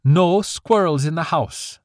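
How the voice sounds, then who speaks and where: A strict mother fairy scolds her daughter in a storybook reading, voiced by a man. Her delivery is stern and emphatic, with a pitch that swings widely. The recording is close and dry. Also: very animated, a little fast, a little mellow, no room sound. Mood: scolding.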